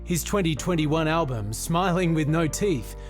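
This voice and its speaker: A man reading scripted nominee introductions as a voice-over announcer, in an even, polished delivery. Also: fairly high pitch, a little slow. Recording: voice-over with music underneath.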